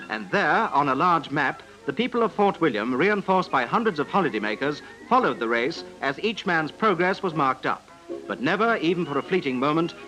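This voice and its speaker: Male newsreel narrator, high-pitched, lively intonation, formal dramatic delivery, vintage 1950s soundtrack. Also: rough recording.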